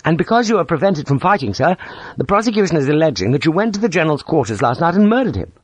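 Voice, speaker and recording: A man's voice: on the high side, very animated, fast talker, dry recording, voice pushed up, poor audio. A man voicing a timid young army lieutenant, hesitant and deferential, with a nervous stammer, in an audiobook story. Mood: anxious, hesitant.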